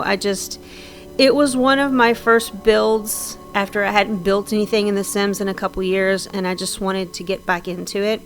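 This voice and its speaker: A woman with a slightly scratchy voice from sinus trouble, chatting casually and rambling with frequent ums and restarts over her game build. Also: medium pitch. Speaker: Sims builder narrating a speed build.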